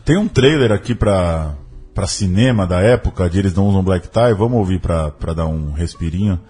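A man's voice: sits low, lively intonation, normal speed, warm tone, soft background music. A Brazilian man hosting a podcast, asking casual interview questions that he rephrases tentatively as he goes.

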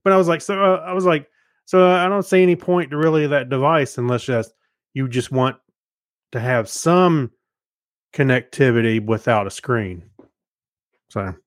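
A man's voice: medium pitch, moderate pace, long pauses, dry recording. Rambling middle-aged man, close dry sound. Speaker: podcast co-host. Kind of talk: casual chat.

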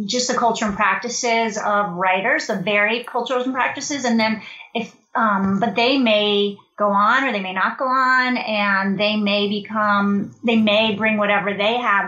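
A woman's voice: mid-range voice, bright, forceful tone, roomy sound, highs cut off. A woman who co-directs a creative writing program, talking casually in an interview with many fillers and restarts.

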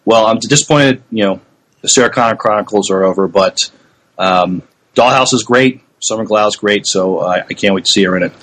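A man with a fairly low voice co-hosts a podcast. He chats casually in a halting, mild-mannered way. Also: a bit bright, low-quality recording. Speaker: podcast co-host. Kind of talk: casual chat.

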